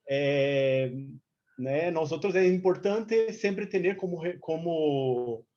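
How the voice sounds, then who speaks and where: A woman's voice: low voice, dark tone. A Brazilian woman, a native Portuguese speaker, giving an informal seminar talk as an activist, mixing Portuguese and Spanish and searching for words, over a lo-fi online call. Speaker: activist speaker, movement representative. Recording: dull lo-fi online call audio.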